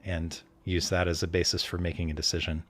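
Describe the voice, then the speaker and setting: A man's voice: low voice, little pitch movement, a little fast, a bit bright. A man hosting a casual interview, explaining features with enthusiasm. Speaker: host.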